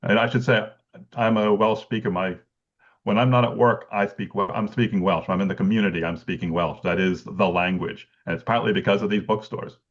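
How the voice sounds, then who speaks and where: Gravelly low-voiced man on a dull call line. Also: fairly even intonation, fairly quick. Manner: thoughtful, many restarts and ums, laughs lightly. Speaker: bookselling researcher guest. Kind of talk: conversational explanation.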